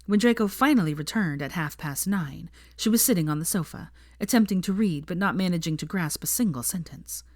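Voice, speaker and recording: A woman's voice: on the low side, fairly quick, hardly any pauses, darkish tone, no echo, studio quality. A woman narrating a story from a script in an audiobook style. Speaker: audiobook-style fan fiction reader.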